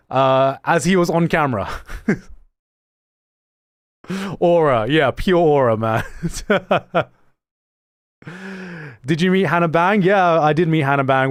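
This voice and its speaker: A young man with a mixed, slightly British-tinged accent talks to his live viewers. His voice sits a little high and swings up and down a lot. He laughs often, trips over his words and restarts them, all in a dry, close recording. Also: dry recording. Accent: mixed, slightly British-tinged English. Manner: animated, laughing often, many quick restarts. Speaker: streamer. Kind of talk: casual livestream chat, reading out viewer messages.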